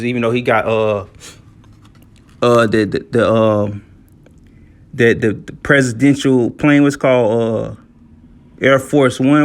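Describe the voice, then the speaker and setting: A man from the United States giving informal, slangy commentary on news and scripture, often punctuating his talk with filler phrases. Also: mid-range voice. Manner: conversational, slangy, repeats "all right" and "you know". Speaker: religious commentator.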